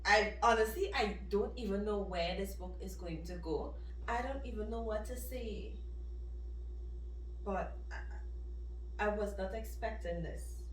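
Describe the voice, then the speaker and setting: A woman giving casual, expressive book reactions as a vlogger, talking in an emphatic, conversational way. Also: mid-range voice, a little slow, pauses often, slight background noise. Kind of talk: casual reaction and review to camera. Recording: roomy echo, distant microphone.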